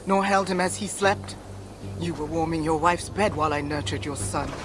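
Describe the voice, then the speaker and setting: A woman's voice: sits low, moderate pace, music in the background, natural voice. An actress playing a fierce, reproachful Norse goddess and protective mother in a video game, with imperious, archaic formal speech. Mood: bitter, reproachful. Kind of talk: dramatic game cutscene acting.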